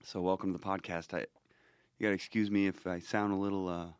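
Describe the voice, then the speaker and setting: A man's voice: low voice, level tone, fast talker, smooth, no room sound, studio quality. A man hosting a casual podcast, talking through a cold with a stuffy, nasal voice and rambling, self-deprecating asides. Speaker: podcast host.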